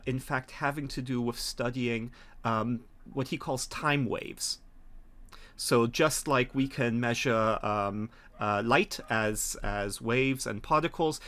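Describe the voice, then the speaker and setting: A man's voice: medium pitch, a bit bright. Middle-aged man narrating a tabletop game. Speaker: game master. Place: small home room, video call. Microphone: video call from a desk.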